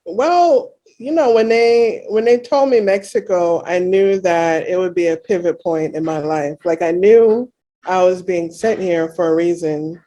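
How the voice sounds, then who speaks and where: A woman's voice: lowish voice, unhurried, warm tone, highs cut off. A woman in her thirties from Jamaica, a guest in a casual interview, talking reflectively with many "you know" fillers.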